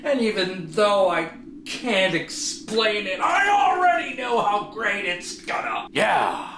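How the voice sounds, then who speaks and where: A man's voice: sits high, wildly swooping pitch, slow. A man giving an exaggerated, theatrical dramatic reading of song lyrics. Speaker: performer. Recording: echoey room with background noise.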